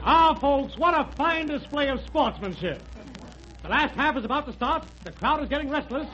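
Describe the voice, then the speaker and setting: Excited, very high-pitched football announcer. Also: male voice, moderate pace. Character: excitable football radio announcer calling the game. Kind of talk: 1930s radio sports commentary parody. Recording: dull, noisy 1930s radio broadcast.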